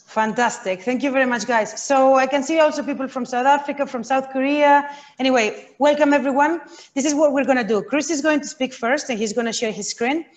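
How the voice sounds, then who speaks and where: Woman with a slightly high voice hosting a webinar. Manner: friendly, brisk, guiding the audience. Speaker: webinar host. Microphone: video call.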